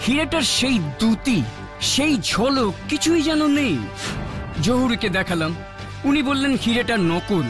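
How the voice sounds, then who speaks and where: A man's voice: extremely high pitch, lively intonation, a little slow. A man voicing an anxious, courteous king and father in a children's cartoon, speaking formally over music. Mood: anxious, pleading. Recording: music playing behind the voice.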